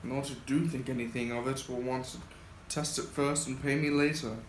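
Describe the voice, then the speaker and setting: A man's voice: mid-range voice, fairly even intonation, a little fast, lightly acted. A young man in an amateur school play acting a reluctant, wary middle-aged army sergeant major. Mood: wary, warning. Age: young adult. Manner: reluctant, warning. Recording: echoey room, faint noise.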